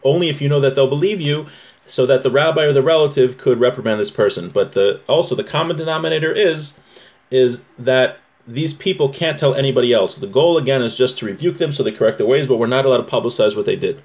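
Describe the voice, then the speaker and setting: A man's voice: medium pitch, quick pace, darkish tone. A man teaching an informal class on religious law, reading from a text and explaining it point by point, repeating himself and checking that listeners follow.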